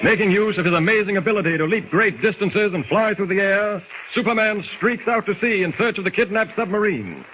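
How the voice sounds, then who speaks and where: A man's voice: high-pitched, fairly quick, hardly any pauses, pushed and bright. An American man narrating a 1940s radio serial with urgent, grandiloquent delivery. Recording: faintly noisy 1940s radio recording.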